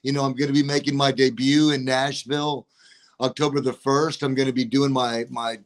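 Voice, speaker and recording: A man of around sixty, a guest on a podcast, talking in a courteous, measured, slightly formal way. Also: medium pitch, little pitch movement. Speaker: guest, attorney and rapper. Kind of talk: casual interview talk.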